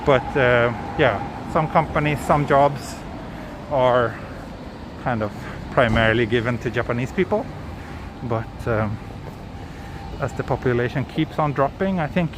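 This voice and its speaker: A man with a Swedish accent hosting a live walking tour, chatting casually and answering viewer questions with hesitant, thinking-aloud restarts. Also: mid-range voice. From Sweden. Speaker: livestream walking tour host. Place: city streets outdoors. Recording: outdoor traffic noise.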